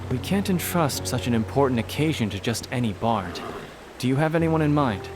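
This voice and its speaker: A man playing a calm, caring young lord in a video game, speaking in a composed, formal and measured way. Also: medium pitch, music in the background, lightly acted.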